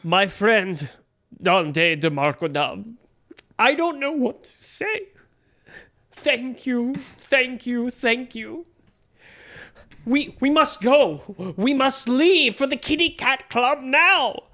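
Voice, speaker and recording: A man's voice: very high-pitched, expressive, slow pace, bright, forceful tone, exaggerated voice. A man playing an earnest, anxious songwriter in a comedic audio drama, pleading melodramatically. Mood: urgent, pleading. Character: earnest, anxious songwriter seeking a friend's help.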